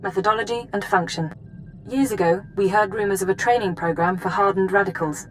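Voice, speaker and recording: A woman's voice: medium pitch, fairly even intonation, a little fast, a little hiss or hum, lightly acted, poor audio. A woman playing a cool, businesslike intelligence officer who gives a formal, precise mission briefing in a video game.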